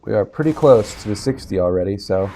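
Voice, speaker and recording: A man with a raspy voice from being sick, giving low-key commentary on his gameplay. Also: quick pace, a little hiss or hum, low-quality recording. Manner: relaxed, low-key play-by-play with occasional coughs. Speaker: gaming video host. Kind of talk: casual game commentary.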